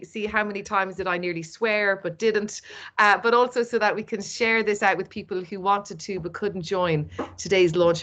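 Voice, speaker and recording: An Irish woman with an East Cork accent hosts an online community launch over a video call. She is lively and playful, drawing the audience in with encouragement. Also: on the low side. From Ireland. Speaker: host. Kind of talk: upbeat webinar hosting.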